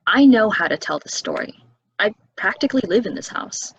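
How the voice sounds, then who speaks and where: A woman at a stage play table reading, playing a calm, solitary young local who tells things in an unhurried, matter-of-fact way. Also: mid-range voice, slightly rough, no room sound, highs cut off. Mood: defensive.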